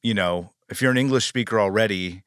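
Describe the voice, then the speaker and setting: A man's voice: lowish voice, fairly quick, a bit bright, clean tone, no echo, studio quality. A man hosting an interview podcast, who chats casually, restates his guest's points and uses a lot of filler words. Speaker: podcast host.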